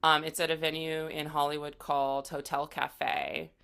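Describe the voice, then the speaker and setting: Creaky, low-voiced woman chatting. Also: level tone, moderate pace, fairly bright tone. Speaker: musician, interview guest. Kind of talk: casual interview chat.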